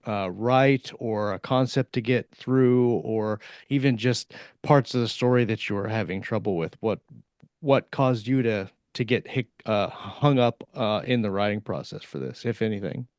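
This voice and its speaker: A man co-hosting a podcast, asking casual interview questions in a halting way as he searches for words. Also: lowish voice, a little mellow, dry recording. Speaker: podcast co-host.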